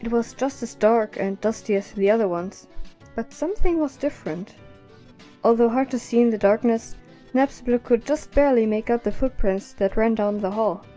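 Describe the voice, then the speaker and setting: A woman's voice: fairly high pitch, moderate pace, warm tone, soft background music. A woman narrating a scripted story as the narrator of an audio drama.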